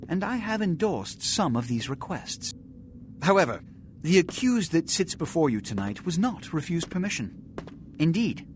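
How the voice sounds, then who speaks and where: Pompous, theatrical vampire prince, sweeping intonation. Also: male voice, on the high side, slight background noise. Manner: formal, oratorical, measured. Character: pompous, authoritative vampire prince addressing his subjects. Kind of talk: theatrical video game acting.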